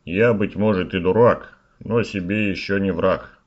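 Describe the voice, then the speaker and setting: A man's voice: low voice, a little slow. A man playing a simple, stubborn, cheeky young village lad who banters in folksy rhyme for a fairy-tale audio play, recorded a little muffled. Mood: resigned, musing.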